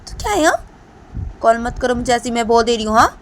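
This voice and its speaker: A woman voicing a furious young woman who angrily scolds a repeated caller in a shrill, shouting voice. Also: animated, quick pace, a little hiss or hum, natural voice. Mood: scolding.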